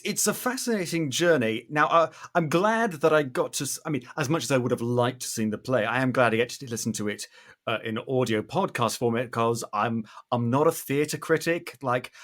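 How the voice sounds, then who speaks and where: A man's voice: mid-range voice, a bit bright. A man hosting a casual interview podcast, self-deprecating and a little theatrical in how he talks.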